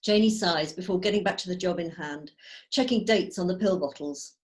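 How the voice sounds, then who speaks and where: A woman reading out screenplay stage directions as measured, storytelling narration. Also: lowish voice. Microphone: video call.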